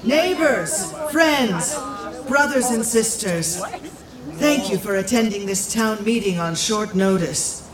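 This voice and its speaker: A woman playing a venerable, firm village matriarch, speaking in formal, measured public address in an audio drama. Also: medium pitch, lively intonation, crowd noise. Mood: stately, formal. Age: older. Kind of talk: scripted audio drama acting. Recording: echoey, faint background noise.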